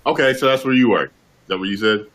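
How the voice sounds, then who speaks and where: A man's voice: medium pitch, fast talker, a bit bright. A man hosting a casual chat, brash and teasing, with loose swearing. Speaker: host.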